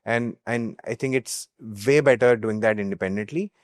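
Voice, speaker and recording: A man's voice: mid-range voice, normal speed, smooth, no echo, clean studio sound. A young man with an Indian accent speaking earnestly as a startup co-founder in a business interview, often checking with a tag question. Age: young adult.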